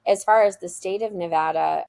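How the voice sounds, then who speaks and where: A school founder being interviewed, she speaks with earnest emphasis and a rather low voice. Her tone carries a gravelly, creaky texture, and she often restarts a phrase before pushing on. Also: a little fast. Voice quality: loud voice. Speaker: school founder, podcast guest. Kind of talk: interview answers.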